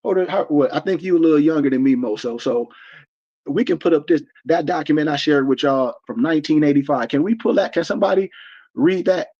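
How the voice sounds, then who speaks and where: American man in his forties, preachy, emphatic host with a slightly high voice, lo-fi. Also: brisk, warm tone. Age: 40s. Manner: preachy and emphatic, many restarts. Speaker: discussion host. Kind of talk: livestream discussion. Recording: dull lo-fi stream audio.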